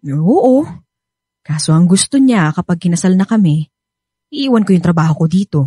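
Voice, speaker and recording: An actress playing a devoted, motherly middle-aged nanny with a Filipino accent in a radio drama, husky and shyly confiding. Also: fairly low pitch, animated, moderate pace, mellow, dry recording, clean studio sound. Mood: wistful, resigned.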